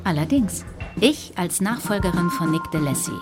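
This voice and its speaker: A woman's voice: fairly low pitch, lively intonation, normal speed, over music, natural voice. An actress playing a tough, shrewd female bounty hunter in a radio crime drama, speaking in an assertive, businesslike, bargaining way. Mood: insistent, calculating.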